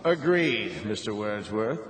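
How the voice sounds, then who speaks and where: An actor playing a cold, imperious chancellor of a totalitarian state in a radio drama, speaking formally and officiously. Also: male voice, on the low side, big pitch swings, takes it slowly, a crowd in the background. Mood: coldly formal. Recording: echoey hall with music underneath.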